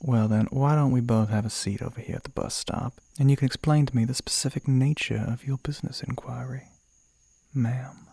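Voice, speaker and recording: A man playing a suave, flirty crossroads demon in an ASMR roleplay, with a courtly, teasing Southern US drawl that often drops to a soft breathy murmur. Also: medium pitch, fairly even intonation, brisk, dry recording. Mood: charming, playful.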